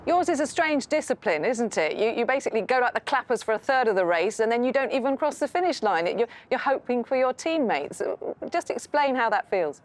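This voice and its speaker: A woman, a news presenter interviewing guests, with brisk conversational questioning. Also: on the high side, expressive.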